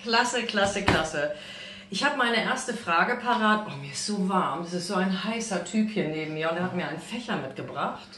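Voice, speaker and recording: A woman's voice: fairly low pitch, animated, normal speed. Bubbly middle-aged lady doing a cooking show, gushing, roomy kitchen sound, bit of noise. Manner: exuberant, effusive. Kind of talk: casual chat with a guest. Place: small home kitchen, indoors. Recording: roomy kitchen echo, light background noise.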